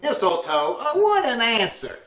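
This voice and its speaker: A man's voice: very high-pitched, huge pitch swings, normal speed, a bit bright, heavily acted. A man playing a blustery, scheming, folksy husband who grumbles and wisecracks in 1940s radio comedy style. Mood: blustery, exasperated. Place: live video call performance. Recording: roomy, distant, noisy video-call audio.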